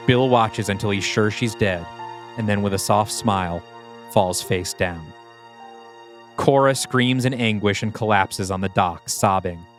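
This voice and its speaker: A man reading scripted, screenplay-style narration for an audio play. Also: fairly low pitch. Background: background music.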